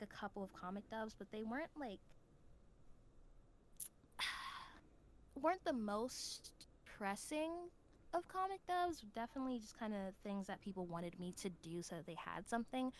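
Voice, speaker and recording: A woman with a mid-pitched voice talks casually as an interview guest. She is a voice actor and tells her stories in an animated, giggly manner. There is some faint noise behind her.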